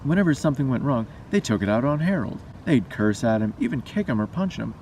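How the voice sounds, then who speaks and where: A man's voice: medium pitch, very animated, fast talker, a little mellow. A man reading a scary story aloud as a storyteller. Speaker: storyteller and vlogger. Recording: distant mic, noisy background.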